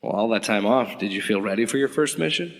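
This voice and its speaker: A man's voice: on the low side, expressive, quick pace, big-room sound. An actor playing a male intelligence agent who casually questions a veteran in an audio drama. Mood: curious.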